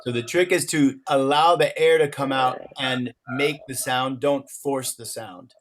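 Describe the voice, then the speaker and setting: A man co-leading a workshop, coaching a group with careful, measured explanations. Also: medium pitch, fairly even intonation, background noise. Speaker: workshop co-facilitator.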